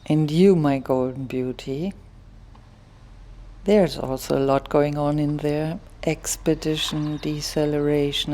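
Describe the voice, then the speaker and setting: A woman playing a musing, solitary lady in a radio play, speaking in deliberate, fragmented phrases. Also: sits low, warm tone.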